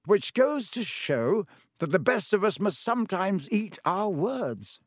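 A man voicing a kindly, wise old male wizard headmaster, gentle and measured. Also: high voice, big pitch swings, dry recording, studio quality, voice pushed up, heavily acted.

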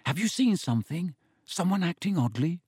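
A man voicing an earnest teenage boy who asks questions in a hushed, urgent way, in a dry recording. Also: high-pitched, sing-song, normal speed, husky, no room sound, pitched above the performer's own voice, heavily acted.

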